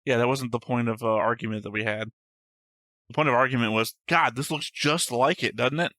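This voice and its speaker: A man's voice: medium pitch, a little fast, bright, forceful tone, dry recording, studio quality. A man co-hosting a reaction show, casually teasing and mocking his friend while they argue. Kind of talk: casual banter reacting to anime.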